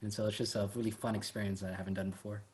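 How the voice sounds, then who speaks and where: A man with a fairly low voice answers informally on a video-call panel. He is an actor describing things conversationally. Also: little pitch movement, very fast, slight background noise. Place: remote video call at home.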